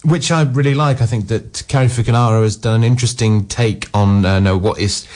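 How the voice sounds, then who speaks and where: A man's voice: mid-range voice, fairly even intonation, a little fast, a little mellow. A man co-hosting a radio film show who gives wry, opinionated reviews full of "you know" and "sort of". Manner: opinionated, wry, with frequent filler words. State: groggy. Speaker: film critic co-host.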